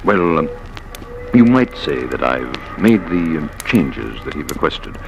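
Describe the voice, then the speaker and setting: An actor playing a courteous, formal gentleman who chooses words haltingly, in a 1940s radio drama. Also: very deep voice, sing-song, vocal fry, over music, exaggerated voice, rough recording. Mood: guarded, polite. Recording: vintage radio recording.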